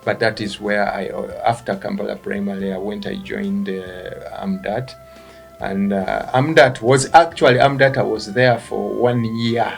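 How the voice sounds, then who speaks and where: A middle-aged man with a Ugandan accent talks through his answers with frequent restarts. His voice sits low and carries a gravelly edge. Faint music plays underneath. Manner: halting, restarts words, quiet then rising when animated. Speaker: guest, event company founder. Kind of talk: interview answers.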